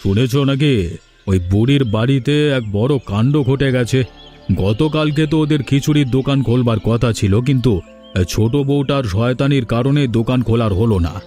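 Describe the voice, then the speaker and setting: A man's voice: medium pitch, brisk, warm tone, natural voice. A malicious, greedy village gossip from a cartoon acted by a man. His mid-range voice is irritable and condescending, with faint music behind it. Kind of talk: cartoon voice acting.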